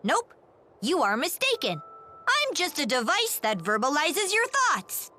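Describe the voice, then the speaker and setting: High, swooping, mocking little doll. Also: female voice, wildly swooping pitch, measured pace, pushed and bright, slight background noise, exaggerated voice. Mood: blunt, flat. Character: small talking doll companion, bluntly mocking and taunting. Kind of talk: video game voice acting.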